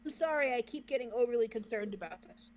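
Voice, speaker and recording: A woman's voice: fairly high pitch, lively intonation, fast talker, slight background noise. A woman co-hosting a casual reaction, chatting informally. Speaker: reaction co-host.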